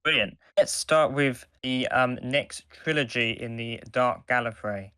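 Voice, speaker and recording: A man's voice: medium pitch, unhurried, bright, forceful tone, clean tone, no echo, studio quality. A man co-hosting a podcast in casual conversation, agreeable and a little hesitant. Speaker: podcast co-host.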